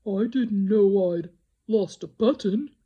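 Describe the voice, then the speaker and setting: A woman's voice: medium pitch, slow pace, mellow, husky, lo-fi sound. A woman voicing a small, innocent, wistful toy bear in a children's storybook. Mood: wondering.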